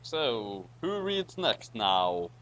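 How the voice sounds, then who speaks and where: A man's voice: fairly low pitch, very animated, drawn-out words, fairly bright tone. A man chatting casually as a co-reader in a group reading. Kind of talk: casual chat.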